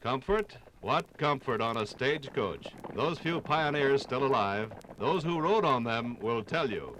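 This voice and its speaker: A man narrating a scripted 1950s radio documentary with dramatic, storytelling phrasing and deliberate pauses. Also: mid-range voice, expressive, fairly bright tone, slight background noise, low-quality recording.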